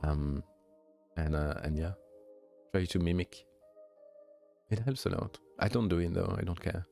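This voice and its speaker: A young man with a light French accent, a streamer chatting casually with viewers, relaxed and hesitant with many fillers and restarts. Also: low-pitched, a little slow, creaky, faint music behind. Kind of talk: casual chat answering viewers.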